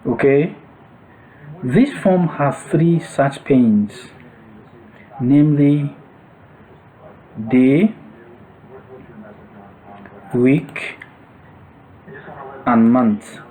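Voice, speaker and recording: A man narrating a step-by-step software tutorial as an instructor, explaining things in short, separated phrases. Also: medium pitch, very slow, pauses often, low-quality recording.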